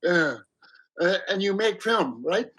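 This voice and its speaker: A filmmaker interviews a guest, and his questions come slowly, broken up by fillers. His voice sits slightly high. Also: expressive, fairly bright tone, slightly rough.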